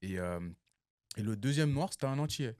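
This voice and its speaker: A young man from Cameroon chatting casually among friends, telling animated stories full of slang and laughter. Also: mid-range voice, a little fast. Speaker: guest. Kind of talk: casual chat.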